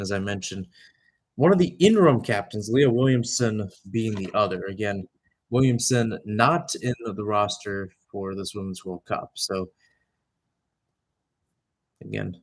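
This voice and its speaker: A young man giving live radio-style soccer play-by-play, talking conversationally and filling in background detail between calls. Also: fairly low pitch. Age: young adult.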